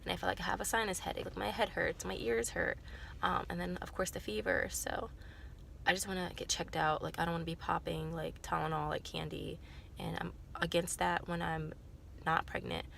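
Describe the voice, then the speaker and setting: A woman's voice: lowish voice, steady tone, brisk, gravelly, fairly bright tone, a little hiss or hum. A young woman with a cold, sounding congested and nasal, chatting casually to camera in a rambling way full of fillers and self-corrections. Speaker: vlogger.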